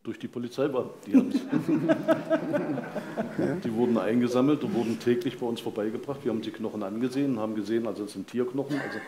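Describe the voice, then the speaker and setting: An older man, a forensic pathologist, explaining casework on a live stage in a matter-of-fact, halting way. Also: mid-range voice. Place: vaulted venue, live audience on stage. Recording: roomy live stage sound, faint noise.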